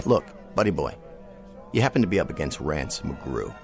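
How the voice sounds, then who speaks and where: An actor playing a vain, pompous TV cowboy star who whines and rants theatrically. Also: male voice, extremely low pitch, very animated, normal speed, soft background music, exaggerated voice. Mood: scornful. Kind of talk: radio drama acting.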